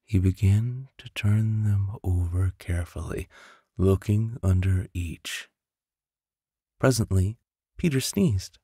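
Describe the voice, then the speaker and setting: A man reads a bedtime storybook in a low voice with a gravelly edge. He takes it very slowly and plays up the drama as an expressive narrator. The recording is dry and close, made on a studio condenser mic. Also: no room sound. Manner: theatrical, with whispers and sound-effect voices. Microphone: close studio condenser microphone.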